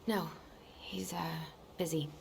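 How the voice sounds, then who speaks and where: A woman's voice: fairly low pitch, fairly even intonation, takes it slowly, gravelly, background noise, full character voice. A woman playing an anxious, weary young American woman in a video game, speaking in a hesitant, subdued way. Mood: polite, guarded. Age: young adult. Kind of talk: naturalistic video game dialogue.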